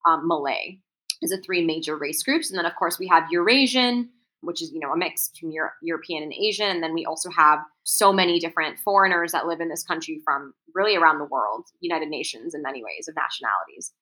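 A woman's voice: low-pitched, fast, sharp tone, low-quality recording. A woman from Singapore with an American accent, sharing personal stories in an interview in an earnest, articulate way. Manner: articulate, earnest, lots of "right?" and "um". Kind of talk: reflective personal storytelling.

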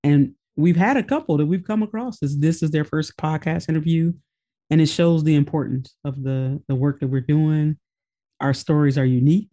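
A man's voice: fairly high pitch, moderate pace, dark tone. A man co-hosting a podcast, introducing a guest in an easygoing, rambling way. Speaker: podcast co-host.

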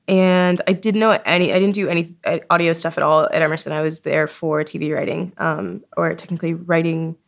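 A woman's voice: low voice, brisk, creaky, few pauses. A woman in her twenties chatting casually as an interview guest, telling stories informally and acting out her own past remarks. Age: 20s. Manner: informal, animated self-quoting, frequent "like". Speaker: podcast creator being interviewed. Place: recording studio at a festival.

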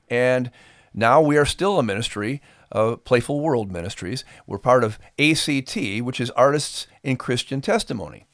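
A man's voice: medium pitch, dry recording, studio quality. A middle-aged man hosting a podcast, speaking to listeners in an earnest, folksy, conversational way. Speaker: podcast host and ministry performer.

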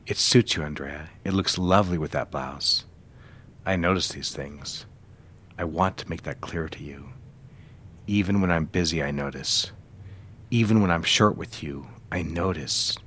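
An actor playing a controlling, smooth advertising boss in a period office drama, speaking in a measured, insistent and commanding way. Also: male voice, low-pitched, fairly bright tone, exaggerated voice. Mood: intimate, possessive. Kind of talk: period audio drama acting.

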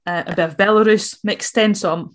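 A woman's voice: medium pitch, steady tone, brisk. Woman, Scottish accent, from Latvia, interviewer, thoughtful chat. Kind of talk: conversational interview.

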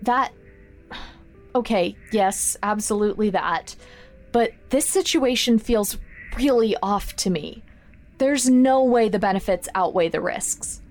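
A woman's voice: medium pitch, unhurried, a little mellow, faint music behind, close to an everyday voice. An actress playing a worried, protective friend in an audio drama, hesitant and earnest, stressing her words. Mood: uneasy.

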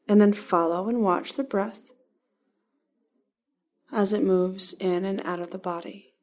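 A woman leading a guided body-scan meditation, giving calm, gentle instructions in short, spaced phrases. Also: mid-range voice, a little slow.

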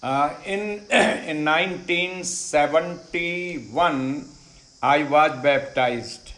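A middle-aged Indian man whose first language is Hindi, telling his faith story slowly and deliberately, pausing on many "uh"s and repeating phrases. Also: a little high, fairly bright tone, reverberant. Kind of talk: unhurried personal testimony. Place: ashram in India.

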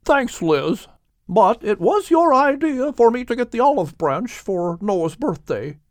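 A man voicing a gentle, tired male dove who speaks softly and with wonder. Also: extremely high pitch, sing-song, unhurried, dry recording, studio quality, voice pushed up, exaggerated voice.

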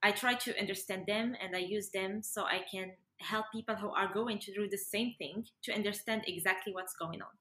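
A woman's voice: medium pitch, brisk, few pauses, pushed and bright. A young adult woman, talking casually as a guest in a podcast interview. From Morocco. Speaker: interview guest, yoga and breathwork facilitator. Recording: roomy, echoey sound.

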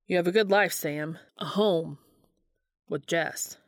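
Weary, longing brother for story narration, read by a woman: earnest, subdued, lowish pitch, dry sound. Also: takes it slowly, no room sound. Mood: quiet, resigned.

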